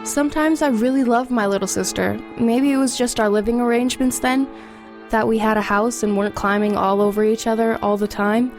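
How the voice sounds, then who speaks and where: A woman's voice: a little high, steady tone, brisk, natural voice. A woman playing a reflective teenage girl who narrates her own ghost story in a confiding way. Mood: reflective, ominous. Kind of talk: first-person dramatic narration. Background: music under the voice.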